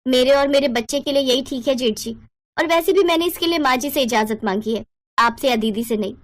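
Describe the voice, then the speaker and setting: Woman acting a high, sharp-tongued young sister-in-law. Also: a little fast, lightly acted. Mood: cold, insistent. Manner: indignant, accusing, argumentative.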